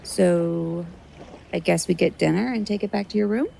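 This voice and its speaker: A woman's voice: fairly low pitch, moderate pace, gravelly, mellow, slight background noise, low-quality recording. A woman playing a chatty, polite stage magician with casual, slightly hesitant phrasing in a naturalistic audio drama. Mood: relaxed, chatty.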